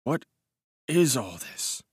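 A man's voice: sing-song, slow, slightly rough, no room sound, clean studio sound, heavily acted. A man voicing a brash, jaunty young male cavalry officer who talks in a hearty, teasing way. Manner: hearty, teasing, raised pitch.

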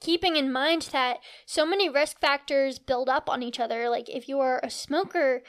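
Young woman w a high voice hosting a health podcast, earnest + encouraging, explains stuff. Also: steady tone, moderate pace. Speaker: podcast host. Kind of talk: educational health podcast monologue.

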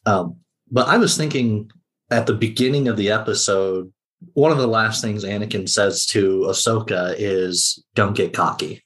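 A man's voice: fairly low pitch, normal speed, a bit bright. A man talking casually as a panelist on a podcast about a TV series.

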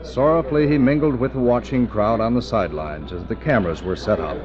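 A man's voice: medium pitch, fairly quick. A man narrating an old-time radio drama in a dramatic, scripted storytelling style. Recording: muffled old radio, loud crowd behind. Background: crowd and street bustle.

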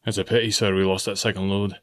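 A man voicing a stern, gruff older sea captain with clipped nautical speech. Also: deep voice, level tone, fast talker, fairly bright tone. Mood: grim.

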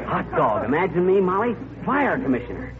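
Folksy blowhard husband, highish animated voice, old radio comedy, tinny recording. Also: male voice, brisk. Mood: puffed up. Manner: pompous, folksy, self-important. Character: boastful, blustery small-town husband puffed up with importance. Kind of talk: 1940s radio comedy acting. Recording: narrow, hissy old radio broadcast.

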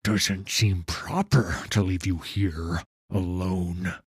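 A man voicing a stern, bitter mage hunter from a fantasy story, rough-voiced, sneering and accusatory. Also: lowish voice, expressive, slow pace, no echo, clean studio sound, full character voice. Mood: grim, restrained. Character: stern, bitter male mage hunter, grieving and menacing interrogator.

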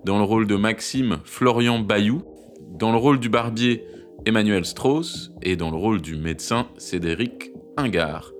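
A man announcing podcast credits in an offhand, halting, informal way. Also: on the low side, faint music behind.

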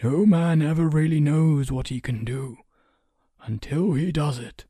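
A man playing a careful, persuasive guest in an audio story, measured but emphatic and fierce when challenged. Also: on the high side, steady tone, mellow, slightly rough, dry recording, studio quality. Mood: gently probing.

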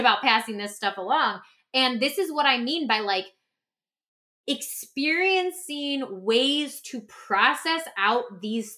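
A woman co-hosting a podcast in a casual, reflective conversation, talking in an animated, informal way with lots of "like". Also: fairly high pitch. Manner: animated, informal, peppers talk with "like". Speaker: podcast co-host.

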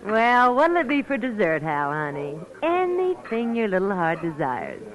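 A woman's voice: mid-range voice, huge pitch swings, unhurried, dull audio. A woman playing a sassy, flirtatious diner waitress in a 1940s radio drama, coy and playful. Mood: flirty. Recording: vintage radio recording, diner crowd noise.

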